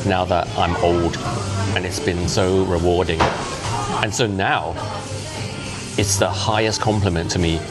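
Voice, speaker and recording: A man in his fifties, a native Cantonese speaker, talks in a low, chatty voice straight to camera. He is animated and slips into little impressions of the people he quotes. Music plays clearly behind him. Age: 50s. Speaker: vlogger. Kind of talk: casual monologue to camera.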